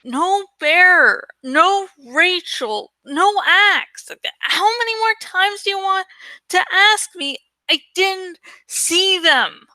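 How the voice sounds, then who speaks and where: A woman plays a defensive teenage boy in a story reading. He is sullen and snappish, pitched high, with a bright edge and slow, deliberate delivery. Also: lively intonation, no room sound, exaggerated voice, low-quality recording. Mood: angry.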